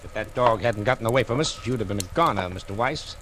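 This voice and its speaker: An actor playing a commanding, dryly triumphant police detective in 1940s radio style. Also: male voice, lowish voice, expressive, quick pace, a bit bright. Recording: noisy 1940s radio recording.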